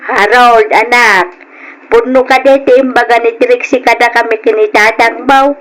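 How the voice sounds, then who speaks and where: A Filipino woman playing a worried, gentle, pleading mother in an old radio drama. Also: a little high, fairly even intonation, moderate pace, soft background music, lightly acted, rough recording.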